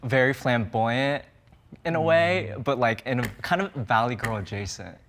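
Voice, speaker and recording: A man's voice: mid-range voice, expressive, normal speed, a bit bright, a little hiss or hum. A man, a musician and video creator, chatting casually with lots of fillers as a game contestant.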